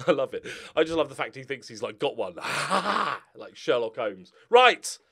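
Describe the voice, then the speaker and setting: A man in his forties chatting casually and humorously to viewers as a channel host, animated and jokey. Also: mid-range voice, unhurried, vocal fry, husky. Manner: animated, jokey, sweary, chuckling. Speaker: YouTube gaming channel host. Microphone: desk condenser microphone up close.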